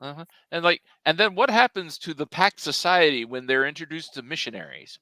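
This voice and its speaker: Wry male panelist, fifties, lively pitch swings, crisp forward tone, casual banter. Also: fairly quick, no room sound. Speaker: convention panelist. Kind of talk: casual joking panel chat.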